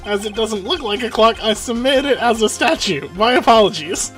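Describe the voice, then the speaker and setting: An amateur player voicing a polite, smug male prosecutor in a courtroom video game, formal and deliberate. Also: mid-range voice, expressive, a bit bright, soft background music, natural voice. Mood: polite, explanatory.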